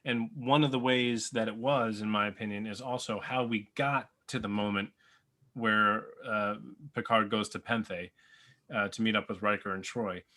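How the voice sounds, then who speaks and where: A man's voice: on the low side, steady tone, moderate pace, sharp tone. A man co-hosting a podcast in casual roundtable chat, speaking emphatically and repeating phrases for effect. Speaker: podcast co-host.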